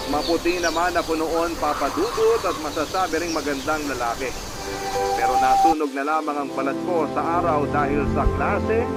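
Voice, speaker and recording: A man working as a radio host, reading listener letters as measured, sentimental dramatic narration. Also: fairly high pitch. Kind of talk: dramatic scripted storytelling narration. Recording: loud music bed under the voice.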